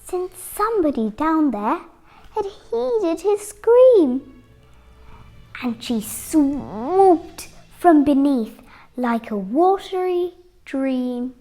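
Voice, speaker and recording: Very slow, high-pitched little girl reading. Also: soft background music. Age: 8-year-old child. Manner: expressive, dramatic phrasing with deliberate pauses. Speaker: young storybook reader. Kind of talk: children's picture-book read-aloud.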